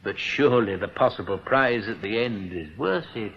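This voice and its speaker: An actor playing a breezy, authoritative British senior bank boss in a radio drama, persuasive and paternal. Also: male voice, fairly low pitch, expressive, normal speed, husky. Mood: hearty, persuasive. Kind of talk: broadcast radio drama acting. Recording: dull noisy old radio recording.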